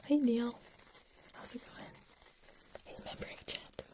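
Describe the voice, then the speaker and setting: Young female ASMR streamer, soft whisper, unhurried, reading chat between triggers. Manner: soft whispering, chatting with viewers while making trigger sounds. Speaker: ASMR livestream host.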